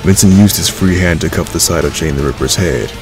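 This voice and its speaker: A man's voice: low voice, fairly quick. A man reading scripted story narration as the narrator of an audio drama. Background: music.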